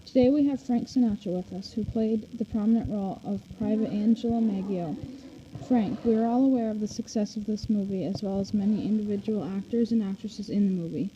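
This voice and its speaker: A woman hosts a scripted radio interview in 1940s style, reading her questions. Her mid-pitched voice is very dark and mellow. The sound is dull and faintly noisy. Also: brisk. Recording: dull recording with faint noise.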